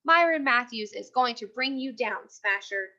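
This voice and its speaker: A woman's voice: a little high, animated, moderate pace, pushed and bright, lo-fi sound. A woman voicing a bold, stubborn, indignant schoolboy in a children's storybook, insistent and confrontational. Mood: accusing.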